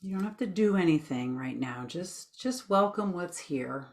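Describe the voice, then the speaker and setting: A woman leading a guided meditation with gentle, informal instructions in a soothing, unhurried manner. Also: on the low side, expressive.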